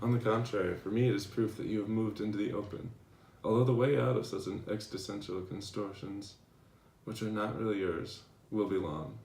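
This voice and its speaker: A man playing a devoted philosopher reading his tender love letters aloud in a measured, earnest dramatization. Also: on the low side, fairly even intonation, gravelly, darkish tone. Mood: reflective, reassuring. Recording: echoey room, distant mic, faint noise.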